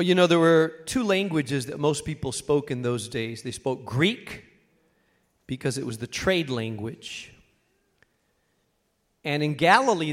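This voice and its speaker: A man preaching a sermon as a pastor, speaking in short, weighted phrases with dramatic pauses and emphatic repetition. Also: on the high side.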